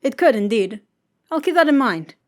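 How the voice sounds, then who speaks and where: A woman's voice: high voice, animated, normal speed, voice pushed up. Woman voicing an awkward, formal young triton adventurer. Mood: pleased. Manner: stilted formal phrasing with stammers.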